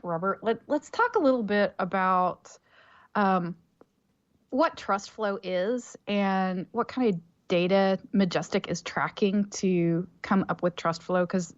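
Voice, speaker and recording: A woman's voice: mid-range voice, highs cut off. A woman co-hosting a casual interview, chatty and steering the talk along. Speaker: co-host.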